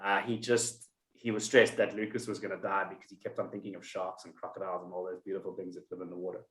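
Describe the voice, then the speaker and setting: A man's voice: low-pitched, level tone, quick pace, a bit bright, reverberant, poor audio. A man hosting a podcast in casual chat, rambling and telling stories with many restarts. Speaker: podcast host.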